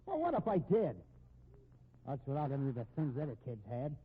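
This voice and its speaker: An actor playing a desperate fugitive brother in a 1950s radio drama, with hard-boiled slangy delivery on a muffled old recording. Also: male voice, mid-range voice, huge pitch swings, a little fast, slight background noise. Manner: slangy, hard-boiled tough-guy talk. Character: desperate, cornered fugitive brother, tough small-time crook.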